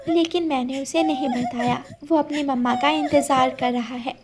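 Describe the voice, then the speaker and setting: A woman's voice: sits high, fairly even intonation, moderate pace, noisy background, lightly acted. A woman voicing a kind, protective, worried mother sparrow in a cartoon, with a soothing, slightly hesitant manner. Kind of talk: cartoon character acting.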